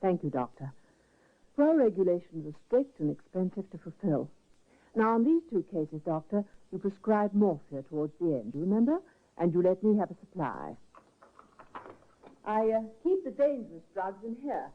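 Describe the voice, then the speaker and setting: An actress in a 1950s radio drama playing a brisk, polite but bossy nurse who runs a home, heard through a tinny old broadcast. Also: female voice, fairly low pitch, big pitch swings. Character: brisk capable female nurse running a home.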